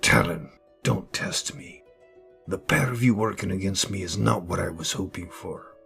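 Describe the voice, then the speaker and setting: A man's voice: low voice, normal speed, vocal fry, fairly bright tone, faint music behind, heavily acted. A man playing a proud, irritable leader in an audio drama, with terse, grudging delivery. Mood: aggrieved. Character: proud, irritable male leader, begrudging and wary. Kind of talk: scripted audio drama dialogue.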